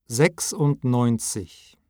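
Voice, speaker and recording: A man reading textbook dialogue and number drills with clear, deliberate enunciation. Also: mid-range voice, background noise.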